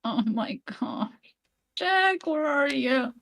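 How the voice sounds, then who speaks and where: A woman's voice: sits high, animated, takes it slowly, gravelly, a bit bright. A woman voice actor in casual chat, chatty and self-deprecating, often laughing at herself. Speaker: fan voice actor.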